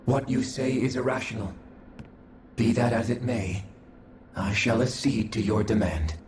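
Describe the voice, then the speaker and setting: A man's voice: medium pitch, unhurried, darkish tone, slight background noise, lightly acted. A man voicing a cold, clinical observer. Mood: detached. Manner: formal, detached, clinical. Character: cold calculating male observer entity. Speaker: game character. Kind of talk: video game cutscene acting. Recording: echoey, with game music behind.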